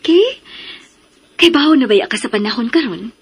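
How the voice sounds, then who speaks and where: A woman with a Filipino Cebuano accent acting a doting, gently strict mother in a radio drama, coaxing and motherly. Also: fairly high pitch, lively intonation, moderate pace, slightly rough, heavily acted. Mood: gently cautioning.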